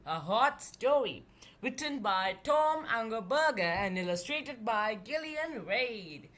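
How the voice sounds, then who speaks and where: A woman reading a children's book aloud as an expressive storyteller. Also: fairly high pitch, slow pace.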